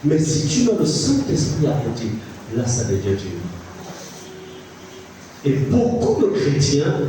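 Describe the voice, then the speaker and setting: A man's voice: on the high side, noisy background. A pastor in his fifties preaching a sermon, emphatic, repeating phrases and putting questions to the congregation. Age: 50s. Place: church. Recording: echoey church sound from a distant mic with music.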